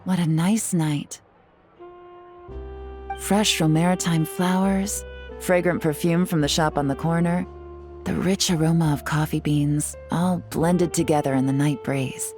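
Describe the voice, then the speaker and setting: A poised, teasing secret agent. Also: female voice, sits low, fairly even intonation, a little slow, a little mellow, soft background music. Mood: relaxed. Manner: smooth, composed, lightly teasing. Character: poised cool woman agent on vacation. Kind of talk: game cutscene dub.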